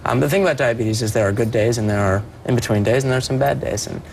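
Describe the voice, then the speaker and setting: A young man speaking as a performer guest on a TV interview, soft-voiced and earnest, often restarting his sentences. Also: mid-range voice, fast talker, creaky, a little hiss or hum. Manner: earnest, with many restarts and repeated words. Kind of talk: TV morning show interview.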